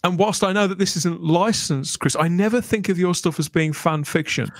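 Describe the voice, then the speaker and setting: A man's voice: high-pitched, moderate pace, no echo, clean studio sound. A man hosting a podcast, interviewing his guests in a conversational style. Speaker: podcast host. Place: remote online video call.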